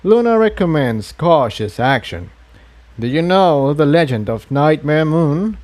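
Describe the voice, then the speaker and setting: A man voicing a kindly old unicorn priest who explains things in an earnest, measured and solemn way for a storybook reading. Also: fairly high pitch, sing-song, a little mellow.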